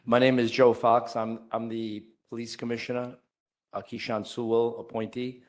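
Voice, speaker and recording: A man's voice: medium pitch, level tone, moderate pace. A man in his sixties introducing himself plainly and briefly as a board member.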